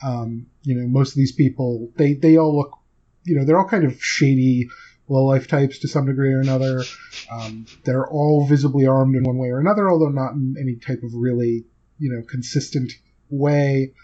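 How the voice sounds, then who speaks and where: Male game master, soft-edged husky voice, loose improvised narration, dull lo-fi sound. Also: mid-range voice, steady tone, normal speed. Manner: conversational, hedging with kind of.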